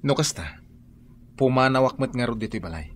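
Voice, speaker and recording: A man playing a stubborn young son standing up to his mother, calm and firm. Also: mid-range voice, normal speed, lightly acted. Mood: defiant. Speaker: Hubert.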